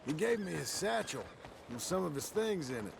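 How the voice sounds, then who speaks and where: Rugged Western game outlaw, rural American accent, plain-spoken, fairly high gravelly voice, noisy surroundings. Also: male voice, very animated, moderate pace, full character voice. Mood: guarded, earnest. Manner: folksy, terse, plain-spoken. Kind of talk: cinematic game dialogue.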